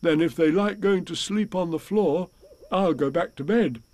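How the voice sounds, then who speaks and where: A man voicing a mild, polite, slightly puzzled toy bear in a children's storybook, with gentle, earnest delivery. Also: on the high side, moderate pace, voice pushed up, natural voice. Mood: mild, hospitable.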